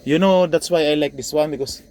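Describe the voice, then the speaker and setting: A Filipino man who is a truck driver and vlogger, chatting in a friendly, excitable way and switching between Tagalog and English. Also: on the high side, a little fast, slight background noise. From Philippines. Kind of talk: casual vlog chat. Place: truck parking lot outdoors.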